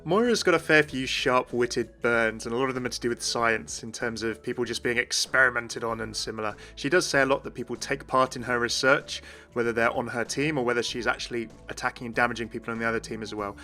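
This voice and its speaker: A man's voice: medium pitch, brisk, pushed and bright. A man hosting a gaming video, narrating in an enthusiastic explainer style. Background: music.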